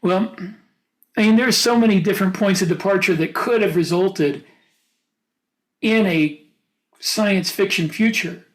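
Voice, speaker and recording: A man's voice: sits high, unhurried, pauses often, poor audio. An American man co-hosting a podcast, talking casually and reflectively in a panel discussion. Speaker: podcast co-host.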